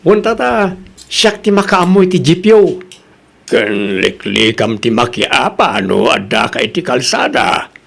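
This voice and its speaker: A man's voice: medium pitch, very animated, a little slow, gravelly, fairly bright tone, exaggerated voice. A Filipino man playing a cocky, hot-tempered jeepney driver in an Ilocano comedy radio drama, brash and boastful in manner. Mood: chatty, agreeable. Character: cocky, hot-headed jeepney driver son-in-law, proud and defiant.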